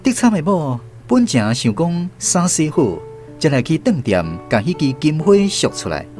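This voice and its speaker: A man's voice: on the high side, big pitch swings, moderate pace, a little mellow. A man with a Taiwanese accent narrating a literary radio drama from a script. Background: plucked guitar music.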